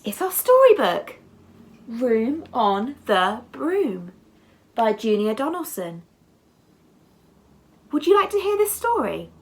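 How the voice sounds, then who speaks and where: A woman reading a bedtime story to young children in an expressive, theatrical, deliberate way. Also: fairly high pitch, slightly rough. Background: music.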